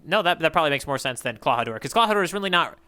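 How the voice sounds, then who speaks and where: Bright, smooth-voiced man chatting. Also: a little high, expressive, very fast. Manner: rambling, many fillers and restarts. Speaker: podcast co-host. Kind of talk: casual chat.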